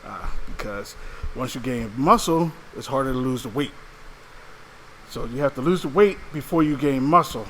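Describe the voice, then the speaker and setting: A man's voice: medium pitch, normal speed, fairly bright tone, a little hiss or hum, low-quality recording. A man co-hosting a casual podcast who chats in an easygoing way and laughs between words. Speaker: podcast co-host.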